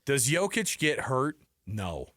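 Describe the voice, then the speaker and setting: A man hosting a sports radio show, talking in animated, conversational banter and often posing rhetorical questions. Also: mid-range voice, takes it slowly, no echo, studio quality. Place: radio studio. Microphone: close studio microphone.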